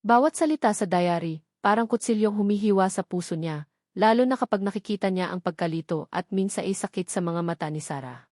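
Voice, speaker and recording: A woman with a Filipino accent narrates a romantic audio drama. Her pitch sits in the middle range for a woman. The recording is close and dry, with very little room sound. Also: a little fast, darkish tone, dry recording.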